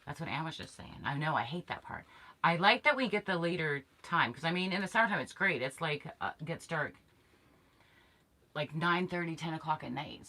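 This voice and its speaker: A woman's voice: low voice, gravelly, pushed and bright. A middle-aged woman co-hosting a casual camping live stream from a small camper, chatty and laughing often. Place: small insulated camper interior. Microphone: fixed camera framing two people on a bench.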